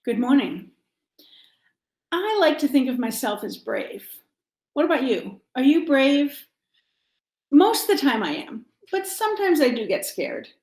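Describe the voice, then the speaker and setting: A woman's voice: sits high, sing-song, a little slow, pauses often, darkish tone. A woman storyteller talking simply and directly to children and reading them a picture book. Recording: roomy, echoing home-style sound.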